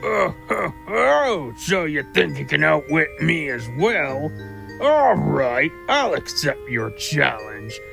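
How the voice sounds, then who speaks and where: A man's voice: a little high, big pitch swings, slow pace, creaky, sharp tone, soft background music, heavily acted. A man voicing a sly, mean, gossiping squirrel in an audio drama, flippant and boastful as he tells his tales. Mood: cocky, impatient. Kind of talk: audio drama character acting.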